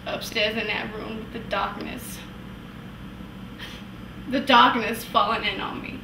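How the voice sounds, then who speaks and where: High-pitched anguished wife, played by a woman. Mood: desperate, accusing. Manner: impassioned, pleading, emphatic with long pauses. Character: anguished wife confronting her husband after years of sacrifice. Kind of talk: dramatic stage monologue at an acting workshop. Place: indoor workshop room, recorded from a distance. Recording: roomy, distant mic, some hiss.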